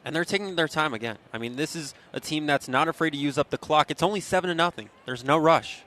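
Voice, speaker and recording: Higher-pitched male football color commentator. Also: fast talker, fairly bright tone. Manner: conversational, explanatory, opinionated. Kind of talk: live sports analysis.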